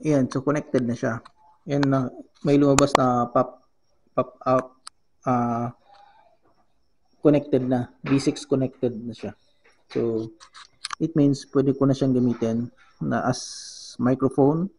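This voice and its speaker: Man, Filipino accent, unhurried, soft mellow tone, casual tutorial, halting delivery. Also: mid-range voice, steady tone, a little hiss or hum, low-quality recording. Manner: halting, searching for words, switches between Tagalog and English. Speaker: vlogger giving a gadget tutorial. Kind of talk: casual how-to demonstration.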